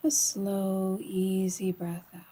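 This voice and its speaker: Woman, meditation guide, soft near-whisper, heavily breathy, slow pace, soothing, long pauses. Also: mid-range voice, completely flat delivery. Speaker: yoga nidra guide.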